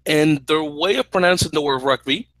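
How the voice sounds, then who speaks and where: A man's voice: on the high side, normal speed, a bit bright, dry recording, rough recording. A young Dominican man co-hosting a podcast, explaining at length with an opinionated, chatty manner, on a headset mic in a small room at home. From Dominican Republic. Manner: explanatory, opinionated, restarts phrases. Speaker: podcast co-host. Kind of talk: casual chat.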